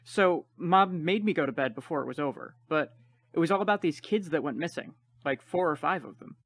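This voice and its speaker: Eager, higher-voiced schoolboy, played by a man. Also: animated, brisk, a bit bright. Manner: casual, chatty, filler-laden teen talk. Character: eager, gossipy schoolboy recounting the news. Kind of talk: audio play acting.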